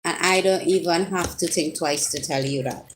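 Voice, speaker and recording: A woman's voice: low voice, moderate pace, a little hiss or hum, rough recording. Chatty Trinidadian woman reading tarot. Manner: chatty, teasing viewers directly, rising exclamations. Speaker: tarot reader. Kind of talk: live-stream tarot reading, casual.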